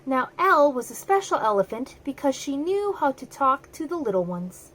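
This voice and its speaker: A woman's voice: high-pitched, very animated, normal speed, a bit bright, poor audio. A woman storyteller narrating a children's picture book aloud. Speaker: storyteller, host.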